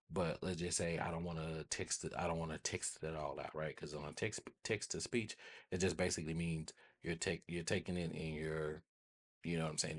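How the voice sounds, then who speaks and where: An American man with a husky voice hosting a casual software tutorial, rambling informally and often checking in with listeners. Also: extremely low pitch. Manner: informal, rambling, repeats check-in tags like "right?" and "okay?". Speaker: host of a tech tutorial channel.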